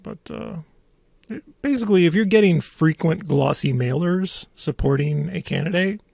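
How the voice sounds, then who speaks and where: Gravelly American man, casual political commentary. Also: on the high side, darkish tone, dry recording, studio quality. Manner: wry, sardonic. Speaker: talk show host discussing local politics.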